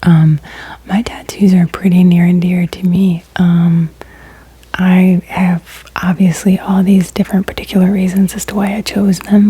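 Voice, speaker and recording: A woman in her thirties with a drawl, whispering close and soft in an ASMR chat, pausing often on ums. Her tone sits low, flat and even, with a husky, breathy grain. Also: dry recording, poor audio. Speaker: ASMR creator.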